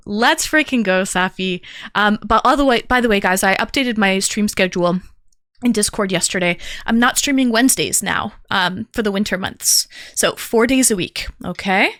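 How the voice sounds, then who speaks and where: This is a woman hosting a live stream who calls herself a yapper and talks her way from one viewer greeting to the next. Her voice is bright and lively at a middle pitch. The sound is close-miked and dry, with no room around it. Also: no echo. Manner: upbeat, chatty. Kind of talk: casual livestream chat greeting viewers.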